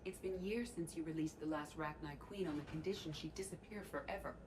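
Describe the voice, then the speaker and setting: A woman's voice: low-pitched, brisk, close to an everyday voice. A woman playing a poised, grave alien officer in a video game, speaking formally and precisely. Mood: grave, measured. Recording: noisy game ambience behind voice.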